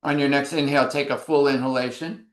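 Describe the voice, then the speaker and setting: A man leading a yoga class through breathing exercises, giving slow, calm, measured cues. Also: mid-range voice, reverberant. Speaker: yoga instructor. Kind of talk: guided breathing instruction.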